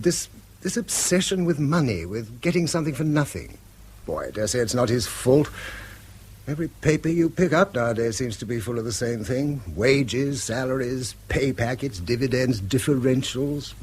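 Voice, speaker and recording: A man's voice: medium pitch, big pitch swings, normal speed, a little mellow, slightly rough. An actor playing an earnest, severe middle-aged English father who moralises formally and is easily exasperated, in a British radio drama. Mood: stern, indignant.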